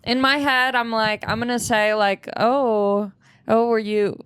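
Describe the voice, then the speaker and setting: A woman comedian guesting on a podcast, telling a story in a deadpan way. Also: a little high, a little slow, clear, resonant tone, no echo, studio quality.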